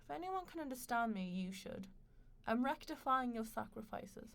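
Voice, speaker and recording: A woman playing a proud, sharp-tongued young mistress in a radio play, ambitious and defiant, with a cutting, impassioned delivery. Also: fairly high pitch, lively intonation, moderate pace, vocal fry.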